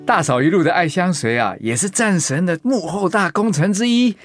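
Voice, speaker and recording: A man's voice: high-pitched, expressive, moderate pace, soft background music. A man playing a supportive, brotherly friend in a radio drama, talking in an upbeat, familiar, encouraging way. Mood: proud.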